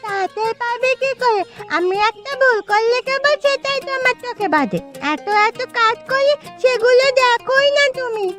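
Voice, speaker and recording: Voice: extremely high pitch, lively intonation, normal speed, over music. An actor playing an eager, cheeky little village girl with a childish, inquisitive manner in a cartoon story. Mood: earnest.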